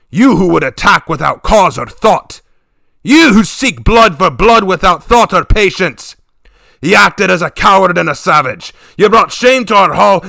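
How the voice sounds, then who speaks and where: A man voicing a stern, gruff warlord chieftain speaking to his daughters, grave and commanding. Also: fairly low pitch, fairly bright tone, no room sound. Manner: commanding, grave, slow and weighty.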